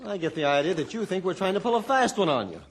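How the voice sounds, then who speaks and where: Noisy vintage radio recording, flustered fisherman, highish male voice, stammering, earnest, defensive. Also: big pitch swings, fast. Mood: indignant. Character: excitable, flustered fisherman with a tall story. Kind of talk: old radio adventure drama acting.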